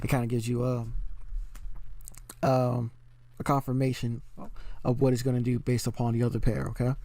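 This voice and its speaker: A man walks through trading charts in a casual, filler-heavy voice-over, checking in with "okay?" as he goes. His voice is warm and dark, and he keeps it level with little rise and fall. The recording is dry and close. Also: mid-range voice, moderate pace, dry recording. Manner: relaxed, filler-heavy explaining with frequent "um" and "okay?". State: slightly losing his voice. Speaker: forex trading educator. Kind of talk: casual screen-share chart walkthrough.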